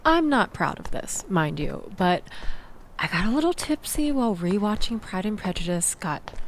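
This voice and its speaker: A woman's voice: mid-range voice, big pitch swings, normal speed, slight background noise, poor audio. A woman playing a chatty, exasperated young woman in a casual audio roleplay, rambling and self-deprecating as she tells her story. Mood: self-deprecating, amused. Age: young adult.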